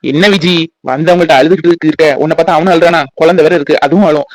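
A man's voice: on the high side, steady tone, fast talker, no room sound, lightly acted. A man playing a protective grandfather in a family drama, consoling his family firmly. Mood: reassuring, firm. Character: protective, reassuring grandfather and head of household.

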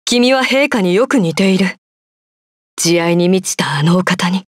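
A woman voicing a loyal, dutiful young female imperial knight in a video game, formal and measured. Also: fairly low pitch, lively intonation, no room sound, clean studio sound. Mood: resolute. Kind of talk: game voice clips.

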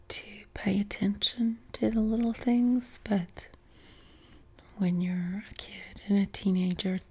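Whispering woman with a soft Appalachian accent. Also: medium pitch, breathy edge. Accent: softened Appalachian. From West Virginia. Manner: unvoiced whisper, unhurried with many ums. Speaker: ASMR creator. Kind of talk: whispered Q&A chat. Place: living room, seated on a couch. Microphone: close mic, camera framed on torso.

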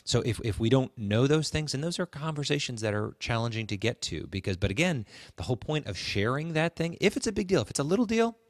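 A man co-hosting a casual, reflective faith podcast, talking haltingly and repeating words as he goes. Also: mid-range voice, sing-song, no room sound. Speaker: podcast co-host. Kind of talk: casual conversational talk with religious reflection.

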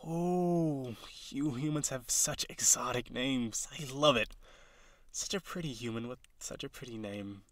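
A man acting as an eager, awkward, gentle male harpy in an audio roleplay, speaking straight to the listener with hesitant, dramatic delivery. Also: mid-range voice, a little slow, close to an everyday voice. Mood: eager, friendly. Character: eager, nervous, awkward young male harpy courting a human.

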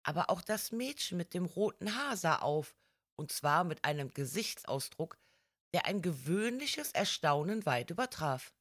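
Slow, measured woman audiobook narrator. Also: lowish voice, sing-song, no room sound, clean studio sound. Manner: measured, careful reading. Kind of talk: scripted storytelling narration.

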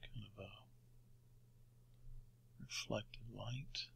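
A man teaching digital painting in a quiet, unhurried screen-recorded tutorial, often dropping to near a whisper as he works. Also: on the low side, vocal fry, a little hiss or hum. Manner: unhurried, talks while working.

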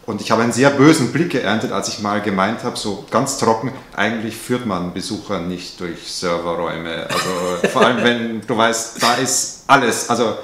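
A man's voice: lowish voice, normal speed, few pauses, fairly bright tone, reverberant. A man in his forties hosting a video, telling stories and throwing in dry, joking asides. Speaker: video host. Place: indoor room on a sofa.

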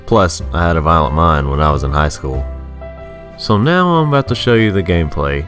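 A man's voice: deep voice, expressive, brisk, a bit bright, faint music behind. A man reading a scripted, rhyming video game review in a sarcastic, sing-song manner.

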